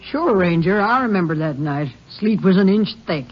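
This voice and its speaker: A high, folksy rural motel keeper. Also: male voice, expressive, normal speed, soft background music. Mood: helpful. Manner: folksy, rustic grammar. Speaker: motel clerk. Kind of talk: 1950s radio drama acting. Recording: dull lo-fi 1950s radio broadcast.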